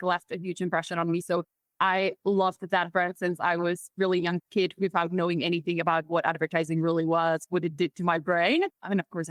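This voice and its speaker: A woman's voice: fairly low pitch, no echo. Upbeat woman with a Czech accent. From Czech Republic. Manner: chatty and upbeat. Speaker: guest, marketing executive. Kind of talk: podcast interview.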